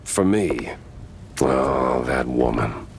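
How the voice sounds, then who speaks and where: Deep hard-boiled detective mouse. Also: male voice, expressive, very slow, vocal fry, slight background noise, heavily acted. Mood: wistful, wry. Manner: wry, sardonic, slangy tough-guy talk. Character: world-weary, wisecracking hard-boiled male mouse private detective. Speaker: detective protagonist and narrator. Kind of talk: 1930s noir detective narration.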